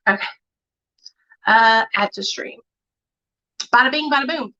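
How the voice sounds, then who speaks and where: A woman hosting a casual livestream, chatting in an excited way with plenty of filler words. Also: on the high side, a little slow. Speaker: livestream host. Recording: muffled lo-fi livestream audio.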